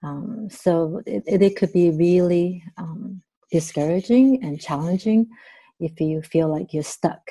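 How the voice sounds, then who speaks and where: Mellow-voiced woman. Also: fairly low pitch, measured pace, breathy edge, poor audio. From Taiwan. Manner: thoughtful, with many fillers and "right?" tags. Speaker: guest, therapist in training. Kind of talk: podcast interview.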